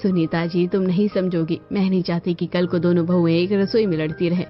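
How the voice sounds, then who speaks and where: A woman playing a firm, cautious mother in an animated family drama, insistently explaining her household plans. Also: on the low side, brisk, muffled recording, soft background music, lightly acted. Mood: firm, wary.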